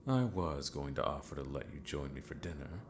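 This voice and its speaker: A very deep-voiced villain mentor played by a man speaks slowly in a fantasy ASMR roleplay. He is courteous, scheming and a little unhinged, with a gravelly creak to his formal, theatrical phrasing. Noticeable noise sits behind the voice. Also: animated. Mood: amused, gently affectionate. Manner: slow, formal, theatrical, sometimes muttering to himself.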